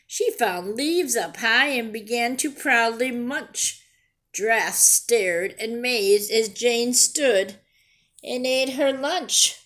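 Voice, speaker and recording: A woman reading a picture book aloud to young children in an expressive, deliberate way, pausing to ask simple questions and explain things. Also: on the high side. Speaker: children's storyteller and teacher.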